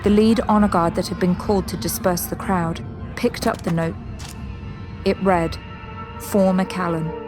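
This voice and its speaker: Female narrator, slightly low pitch, scripted dramatic storytelling, clear music bed behind. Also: fairly even intonation, measured pace, pauses often.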